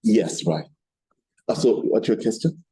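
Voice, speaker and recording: Man, mellow tone, halting non-native seminar lecturer, repeats phrases, background noise. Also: fairly low pitch, big pitch swings. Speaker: lecturer, researcher. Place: seminar room.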